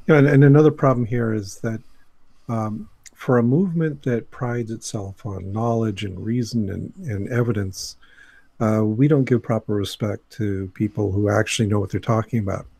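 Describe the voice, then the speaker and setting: A man speaking as a guest in a casual panel discussion, making critical points in a measured, deliberate way. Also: fairly low pitch, fairly even intonation, creaky, warm tone, dry recording. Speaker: podcast guest.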